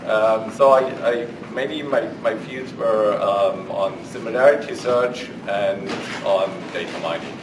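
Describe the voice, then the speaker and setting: German-accented male academic, halting, low pitch, distant mic. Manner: halting, many fillers and restarts. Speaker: professor on a faculty panel. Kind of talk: panel introduction. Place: conference room. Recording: echoey room, far mic, noise.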